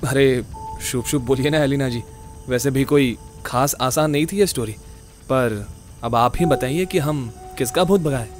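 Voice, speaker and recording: A man playing an earnest, excitable young ghost-case solver in a horror-comedy audio drama, colloquial and mixing in English. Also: medium pitch, moderate pace, lightly acted. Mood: uneasy, impatient. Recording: dramatic music under voice.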